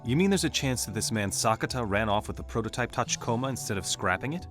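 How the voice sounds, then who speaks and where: A man's voice: mid-range voice, lively intonation, fast, fairly bright tone, soft background music, lightly acted. A man playing an analytical, skeptical special-ops team member in a video game, probing as he reasons aloud. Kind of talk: English dub acting in a video game cutscene.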